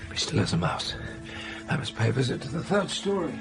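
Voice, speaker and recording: An actor playing a brusque, brooding master of a gothic manor in a period radio drama, gravelly and commanding. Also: male voice, medium pitch, very animated, fairly quick, over music. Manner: commanding, abrupt.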